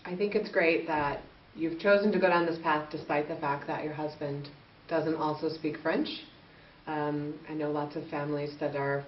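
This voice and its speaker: A woman's voice: deep voice, steady tone, moderate pace. A woman hosting an interview, asking questions in a friendly, chatty way and laughing easily. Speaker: interviewer, host. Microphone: far from the mic. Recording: distant, echoey, muffled with hiss.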